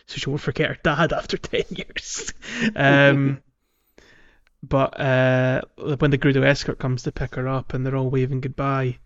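A man co-hosting a podcast, chatting casually about a video game in a halting, conversational way. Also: mid-range voice, little pitch movement, a bit bright, lo-fi sound. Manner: halting, peppered with "like" and "you know". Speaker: podcast co-host.

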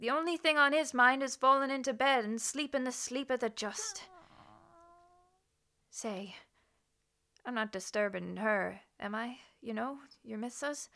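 A woman's voice: a little high, measured pace, pushed and bright. An actress playing a chatty, nosy policewoman neighbor in a radio play, with a folksy, garrulous manner. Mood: folksy, probing. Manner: folksy, garrulous, colloquial, chuckling.

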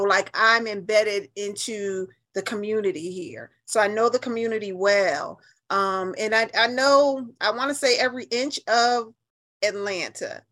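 A woman's voice: mid-range voice, a bit bright. A middle-aged American woman talking casually as an interview guest, in an outspoken, emphatic and colloquial way. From New Haven, Connecticut, US.

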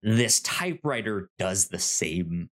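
A man's voice: on the low side, slow pace. A man co-hosting a podcast in casual unscripted discussion, often repeating words and syllables as he goes and acting out imagined dialogue. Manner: animated, acts out imagined dialogue. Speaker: podcast co-host reviewing a TV show.

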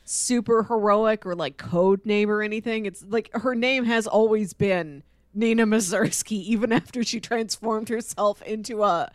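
A woman's voice: mid-range voice, normal speed, dry recording, studio quality. A woman co-hosting a podcast, casually discussing comics in a playful way, often acting out paraphrased dialogue. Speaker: podcast co-host.

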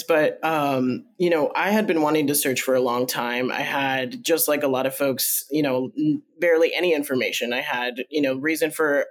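Higher-voiced man telling a story animatedly. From Colombia. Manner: animated, jumps up in pitch when acting out remembered quotes; loose and meandering, with frequent fillers and restarts. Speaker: podcast guest. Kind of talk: conversational storytelling.

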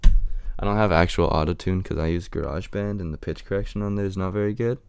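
A young man rapper answering interview questions in a raspy, deep voice, halting with many fillers. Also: no echo. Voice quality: raspy and deep right now.

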